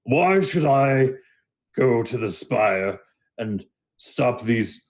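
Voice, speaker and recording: Man putting on a slow, skeptical hulking Minotaur. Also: mid-range voice, lively intonation, voice pushed up. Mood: scornful. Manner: slow, drawn-out, sarcastic delivery. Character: big imposing male Minotaur blacksmith, skeptical, gruff.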